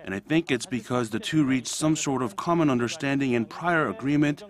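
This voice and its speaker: A man's voice: medium pitch, fairly quick. Man giving expert analysis. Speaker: interviewed expert. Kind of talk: news interview soundbite.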